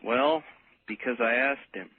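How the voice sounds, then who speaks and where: Man, radio caller, halting and apologetic, unhurried pace, narrow telephone sound. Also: mid-range voice, slightly rough, no room sound. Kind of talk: casual phone-in conversation. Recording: tinny noisy phone line.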